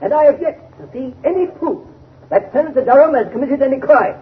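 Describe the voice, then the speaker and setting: Very high-pitched impassioned defense attorney. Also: male voice, animated, brisk. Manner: oratorical, emphatic. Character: impassioned male defense attorney pleading in court. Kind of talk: 1930s radio drama acting. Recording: noisy, narrow-band 1930s radio broadcast.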